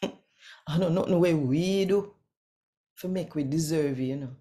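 A Jamaican woman in her sixties giving an expressive, deliberate scripture reading in Patois as host. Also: low voice. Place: small quiet room indoors.

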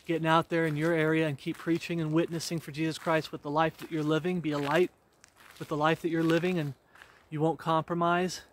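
Man, 40s, preacher, earnest, repetitive, fillers and restarts, slightly high voice, outdoors, arm's-length camera. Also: little pitch movement, fairly quick, a little hiss or hum. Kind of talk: walk-and-talk devotional preaching. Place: outdoors on a wooded rural road. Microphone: handheld camera at arm's length.

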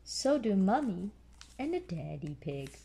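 Slow, lively woman reading a story. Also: medium pitch, gravelly, a little hiss or hum. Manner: explains simply and patiently to a child. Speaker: storyteller mother reading aloud to a child. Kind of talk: read-aloud children's bedtime story.

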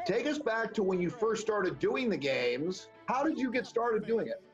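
A middle-aged man conducts a conversational sports interview over a video call. His voice is high for a man, and the audio is dull and lo-fi. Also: expressive, moderate pace, soft background music. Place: home living room on a video call. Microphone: video call microphone.

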